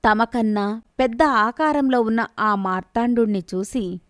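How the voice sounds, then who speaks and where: A woman narrating a scripted bedtime fantasy story in a steady, expressive storytelling manner. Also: fairly high pitch, measured pace, no room sound, clean studio sound.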